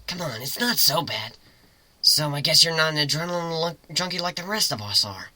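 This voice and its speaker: A woman's voice: sits low, expressive, brisk, pushed and bright, a little hiss or hum, heavily acted. A woman voicing a tough, casual, blunt but kindly female griffin pirate in a fan fiction reading. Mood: breezy, coaxing.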